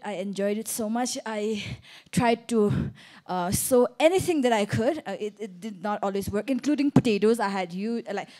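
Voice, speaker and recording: A woman's voice: medium pitch, dark tone. A woman moderating a casual onstage conversation, searching for her words as she goes.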